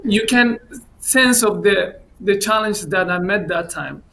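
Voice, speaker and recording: A man who grew up in Finland, answering as an election candidate on a panel in a halting way with many fillers. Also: high voice, vocal fry, sharp tone. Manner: hesitant, many fillers and restarts.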